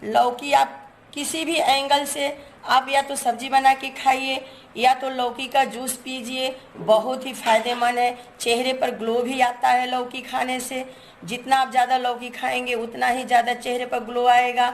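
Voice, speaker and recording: A woman's voice: high voice, level tone, a little slow, a little hiss or hum. A woman, hosting a cooking channel and chatting through each step while she shows her ingredients in a home kitchen. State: hoarse from a cold.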